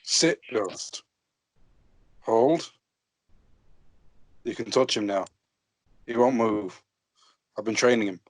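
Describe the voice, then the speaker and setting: A man's voice: fairly low pitch, takes it slowly, long pauses, no echo, poor audio. A man reading the part of a proud, guarded young nobleman's bastard son in an amateur fantasy table read.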